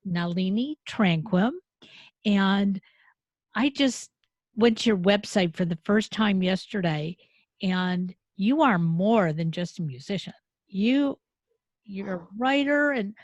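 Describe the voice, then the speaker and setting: A woman's voice: mid-range voice, no echo. A woman hosting a podcast interview, friendly and enthusiastic as she introduces her guest. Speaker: podcast host.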